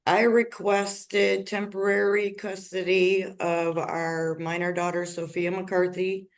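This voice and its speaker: A middle-aged woman giving a formal, deliberately worded account to a judge over a video call. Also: fairly low pitch. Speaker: litigant bringing a motion in court. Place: large office room, on a video call.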